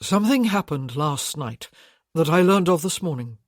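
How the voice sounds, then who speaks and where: An audiobook narrator voicing a composed young aristocratic husband who speaks in formal, measured sentences. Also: mid-range voice, lively intonation, dry recording, clean studio sound, lightly acted. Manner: formal, measured, slightly raised.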